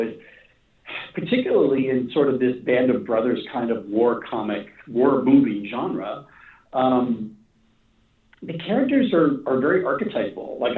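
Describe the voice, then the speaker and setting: A man being interviewed as a writer, chatting casually and haltingly, rambling and repeating phrases as he thinks aloud. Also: medium pitch. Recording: echoey, distant, phone-like call audio.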